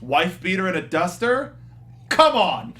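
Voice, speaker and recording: A man's voice: high-pitched, sing-song, unhurried, pushed and bright. A man co-hosting a casual, comedic film discussion, quoting lines with mock exasperation. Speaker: co-host of a film review show. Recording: faint background noise.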